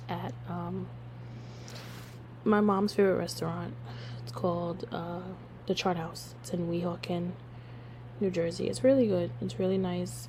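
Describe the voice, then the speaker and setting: A young woman hosting her own podcast, hoarse from losing her voice, chatting casually and rambling with lots of fillers. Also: on the low side, noisy background. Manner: rambling, filler-heavy, relaxed. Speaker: podcast host. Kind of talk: casual solo podcast chat.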